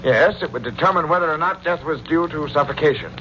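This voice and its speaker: An actor playing a formal, insistent, suspicious doctor in 1940s radio drama. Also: male voice, on the high side, fast, sharp tone. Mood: firm, suspicious. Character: suspicious, firm doctor confronting a killer. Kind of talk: 1940s American radio acting. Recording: dull old radio recording, faint noise.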